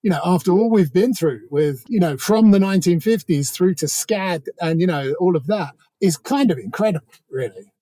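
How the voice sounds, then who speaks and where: A British man talks through politics as an interview guest. His voice sits a little high yet is mellow and dark in tone. He wanders conversationally through asides, in a dry recording. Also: animated, moderate pace, dry recording. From Britain. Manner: discursive, hedging with many asides.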